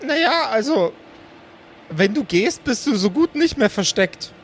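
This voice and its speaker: A man's voice: very high-pitched, big pitch swings, measured pace, a little hiss or hum, voice pushed up, heavily acted. A man voicing an encouraging but hesitant male companion in an improvised fantasy audio play.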